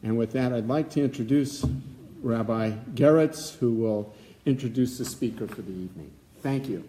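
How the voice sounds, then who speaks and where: A man's voice: mid-range voice, normal speed, dark tone, a little hiss or hum. A man, co-president of a synagogue, giving loose, conversational welcoming remarks in a hall.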